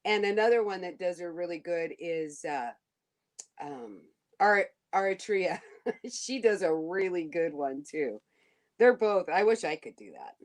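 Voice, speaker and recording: Excitable gushing woman from Alabama. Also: lowish voice, unhurried. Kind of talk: casual chat.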